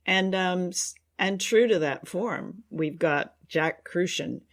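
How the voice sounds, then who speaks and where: Low-register woman co-hosting a podcast. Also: takes it slowly. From Canada. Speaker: podcast co-host. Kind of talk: casual discussion.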